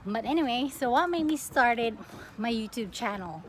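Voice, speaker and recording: A young woman from the Philippines chatting casually as a plant vlogger, expressive and often breaking off mid-sentence. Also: on the high side, normal speed. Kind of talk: casual get-to-know-me question-and-answer chat. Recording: clearly noisy home recording.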